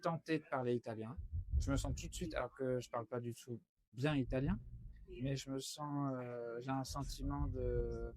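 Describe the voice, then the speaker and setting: A man from France with a medium-pitched voice, speaking as a novelist in a relaxed interview format. He thinks aloud, halting and restarting, and tends to repeat a phrase before completing the thought. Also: dry recording. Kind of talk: conversational interview answers.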